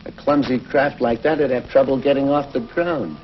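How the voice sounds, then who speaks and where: A man's voice: medium pitch, normal speed, natural voice. A man plays a heroic space pilot in a 1950s TV serial. He is earnest and straightforward, with a mid-range voice. The aged soundtrack is dull, with faint noise. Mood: earnest, questioning. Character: resolute heroic male space pilot from the future. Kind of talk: 1950s television science fiction acting.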